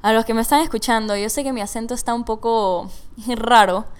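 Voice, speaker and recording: Chatty young woman with a smooth voice. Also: a little high, brisk. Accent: mixed Venezuelan and Panamanian Spanish. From Venezuela, raised in Panama. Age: young adult. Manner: chatty, mixes English words into Spanish. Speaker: podcast host. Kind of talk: casual personal podcast monologue.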